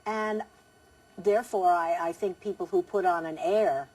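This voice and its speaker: A woman's voice: on the low side, normal speed, darkish tone, husky, recorded from a distance, poor audio. A woman English teacher as a guest on a TV studio interview, firm and emphatic, repeating her key points.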